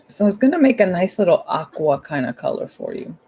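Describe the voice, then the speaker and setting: Hoarse woman teaching painting casually. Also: lowish voice, wildly swooping pitch, a little fast, dark tone. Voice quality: hoarse, husky. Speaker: painting instructor. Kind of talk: casual step-by-step art tutorial.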